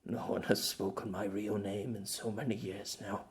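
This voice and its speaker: A narrator voicing a flustered, defeated middle-aged man who speaks in a halting, half-hearted mumble in an amateur audiobook. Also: sits low, level tone, voice pushed down. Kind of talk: amateur audiobook character acting.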